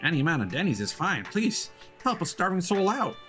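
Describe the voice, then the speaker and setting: A man's voice: high voice, sing-song, fairly quick, bright, forceful tone, soft background music, pitched above the performer's own voice, heavily acted. A man voicing a dramatic, hungry schoolkid in a video game, with exaggerated delivery. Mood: pleading, delighted.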